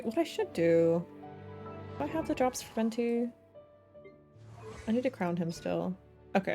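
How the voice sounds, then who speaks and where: A woman's voice: fairly low pitch, a little mellow. A woman livestreamer chatting casually while gaming, thinking aloud in a playful, rambling way with silly slang. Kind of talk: casual gaming stream chat. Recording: game music behind the voice. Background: game music.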